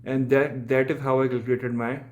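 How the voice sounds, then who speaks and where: A man's voice: medium pitch, level tone, a little fast, lo-fi sound. Hesitant man with Urdu first language. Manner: hesitant, many fillers and restarts. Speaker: crypto trading channel host. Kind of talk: screen-share chart analysis.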